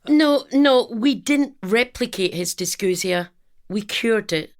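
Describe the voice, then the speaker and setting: An actress playing an earnest research scientist in a radio drama, speaking in a measured, clinical manner. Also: female voice, on the high side, lively intonation. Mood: defensive. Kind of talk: audio drama acting.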